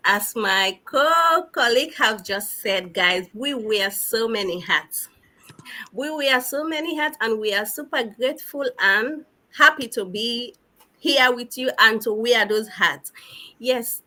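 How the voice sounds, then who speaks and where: Bright-voiced, effusive woman from Sierra Leone. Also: fairly high pitch. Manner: effusive, repeats phrases for emphasis. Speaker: online business mentor, guest speaker. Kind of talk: enthusiastic livestream talk.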